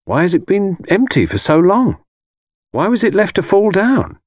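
A man's voice: high voice, sing-song, normal speed, a little mellow, slightly rough, no echo, clean studio sound, voice pushed up, full character voice. A man playing a curious, sceptical young man in an audiobook, politely questioning and coaxing. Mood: inquisitive. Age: young adult. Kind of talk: dramatised audiobook dialogue.

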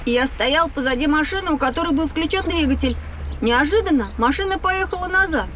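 A woman's voice: high-pitched, expressive, a little fast, distant mic, background noise. A woman playing a reckless, carefree schoolboy in an educational children's audio drama, breezy, boastful and dismissive. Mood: excited, careless.

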